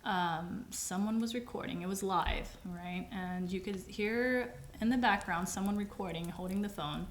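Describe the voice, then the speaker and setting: A woman's voice: mid-range voice, reverberant, a little hiss or hum. Vietnamese-native woman sharing a testimony. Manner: halting, emotional storytelling with many restarts. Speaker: podcast co-host. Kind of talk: personal testimony in casual conversation.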